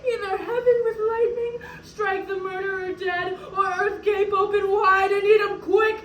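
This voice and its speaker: A young woman acting a grieving, vengeful widowed noblewoman in a declamatory, emotional classical Shakespearean stage style. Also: high voice. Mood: anguished, furious. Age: young adult. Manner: declamatory, emotional, slow deliberate delivery. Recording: roomy, distant mic, noisy room.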